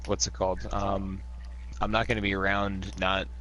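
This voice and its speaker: A very low-voiced man chats casually as a player in a game group. He halts often and rambles with fillers. There is a faint hiss in the background. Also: completely flat delivery, fairly bright tone. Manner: rambling, halting, many fillers. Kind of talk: casual chat.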